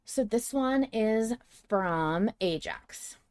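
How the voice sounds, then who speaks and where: A woman co-hosts a casual fan podcast in a medium-pitched voice. She is animated and emphatic, often repeating a phrase to drive it home. She moves easily between chatting and reading listener messages aloud. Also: extremely slow. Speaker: podcast co-host.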